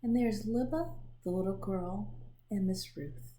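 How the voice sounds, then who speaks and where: A woman reading a children's picture book aloud in an expressive storytelling manner, pausing to show the pictures. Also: mid-range voice. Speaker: storybook reader.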